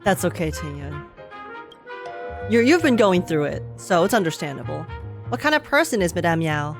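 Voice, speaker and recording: A woman's voice: fairly low pitch, sing-song, moderate pace. Girl streamer chatting through a game, rambly casual asides, faint music behind. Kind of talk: casual gameplay reaction commentary.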